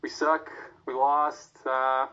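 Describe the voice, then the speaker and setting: A man's voice: medium pitch, little pitch movement, takes it slowly, bright, forceful tone, clear, resonant tone, highs cut off. A man hosting a casual sports podcast after a game, sounding drained and dejected. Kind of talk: casual post-game talk.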